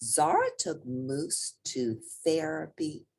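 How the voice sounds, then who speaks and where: Very animated, slow-paced woman storyteller. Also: deep voice, husky. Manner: expressive, theatrical emphasis. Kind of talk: children's picture book read-aloud.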